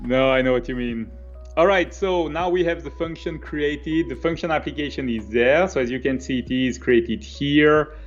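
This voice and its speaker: A man with a Swiss French accent giving a conversational live coding walkthrough as a software developer. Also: mid-range voice, moderate pace, highs cut off, soft background music. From French-speaking Switzerland.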